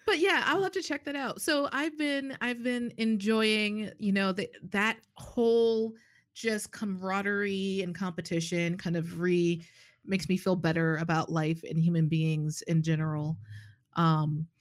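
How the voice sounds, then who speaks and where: A woman in her forties, a clinician, chatting casually in an animated, easy way. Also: mid-range voice, no room sound, clean studio sound. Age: 40s. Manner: chatty, quick to laugh, animated. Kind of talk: casual chat.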